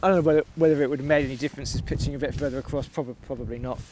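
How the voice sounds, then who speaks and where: A man's voice: mid-range voice, fairly even intonation, racing. A man, chatting casually to camera while camping outdoors. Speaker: wild camping vlogger. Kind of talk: casual outdoor vlog chat. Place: outdoors on exposed moorland, in and around tent. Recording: windy outdoor recording, loud wind noise.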